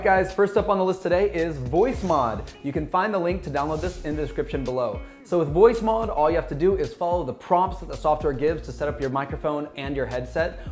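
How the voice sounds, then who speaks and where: A man in his thirties with a slightly high-pitched voice narrates a software tutorial. He addresses viewers in an upbeat, scripted presenter style, with music playing behind him. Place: small bright office, indoors. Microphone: lapel mic. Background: background music.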